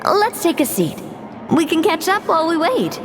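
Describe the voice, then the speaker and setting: A woman voicing a composed young woman who explains things in a measured way. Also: very high voice, big pitch swings, background noise, heavily acted. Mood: explaining. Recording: game audio with loud music.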